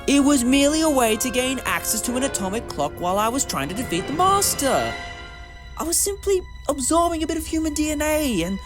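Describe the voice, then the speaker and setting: Animated, eccentric time-traveller hero played by a man. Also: extremely high pitch, a little fast, music in the background. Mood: chatty, explaining. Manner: chatty, animated, cheeky. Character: eccentric, principled alien time-traveller hero, quick-witted. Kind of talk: audio drama acting.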